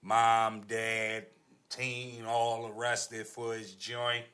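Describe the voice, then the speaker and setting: A man's voice: mid-range voice, monotone, very slow, pushed and bright, clean tone. An American man hosting a casual podcast, talking in slang and prompting his co-hosts with questions. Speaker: podcast host steering the discussion.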